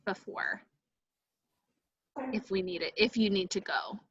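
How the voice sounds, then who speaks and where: Bright-voiced woman on muffled call audio. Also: mid-range voice, measured pace, husky. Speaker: event coordinator. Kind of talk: casual group video-call chat.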